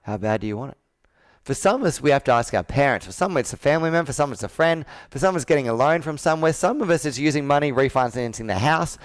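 A man in his thirties speaks in a smooth, resonant voice with a dry, close sound. He talks like a coach on a sales call, earnest and persuasive. He leans on personal stories and rhetorical questions to make his point. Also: fairly high pitch, expressive, fast, hardly any pauses, no room sound. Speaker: business coach. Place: indoor room with whiteboard, video call.

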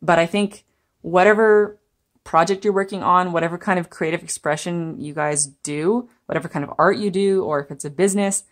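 A woman's voice: lowish voice, steady tone, normal speed. A young woman giving a chatty, meandering tarot reading to viewers, laughing now and then as she talks. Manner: chatty, meandering, gentle, laughs while talking. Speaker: tarot reader. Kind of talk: pick-a-card tarot reading. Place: indoors at a table.

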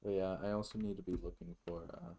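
A man's voice: deep voice, steady tone, fast talker, dark tone. A man giving casual let's play commentary as a gaming host, musing aloud in a rambling way and swearing freely.

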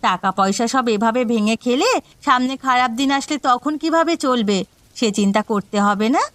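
High-pitched nagging village housewife played by a woman. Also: normal speed, a bit bright, dry recording, lightly acted. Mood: scolding. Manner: scolding. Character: nagging, thrifty village housewife, sharp-tongued with her menfolk. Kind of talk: cartoon dialogue acting. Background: background music.

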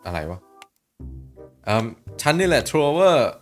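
Excitable young man reacting to a cartoon. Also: medium pitch, a little slow, soft background music. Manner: excitable, exclaims often, slangy. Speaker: YouTuber reacting to an animation. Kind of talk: casual reaction commentary.

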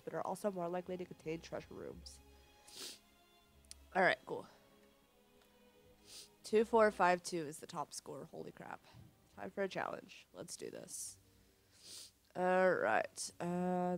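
A young woman sniffly with a cold, thinking aloud in low-key, casual commentary as she plays a video game. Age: young adult. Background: game music.

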